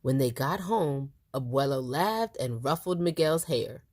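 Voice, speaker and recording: A woman reading a picture book aloud to young children in an animated, expressive storytelling style. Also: very deep voice, measured pace. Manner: animated, acts out the dialogue.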